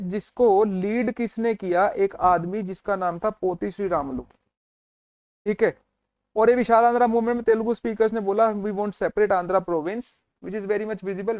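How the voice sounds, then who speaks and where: Male teacher with a high voice, dry close recording, chatty storytelling lecture style. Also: darkish tone, dry recording. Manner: storytelling, mixes Hindi and English, checks in with students. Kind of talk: exam revision lecture.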